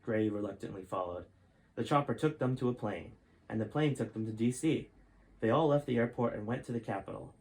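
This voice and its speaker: A man's voice: medium pitch, a little fast, a little mellow, low-quality recording. A man reading his own adventure book aloud as a storyteller, in scripted narration.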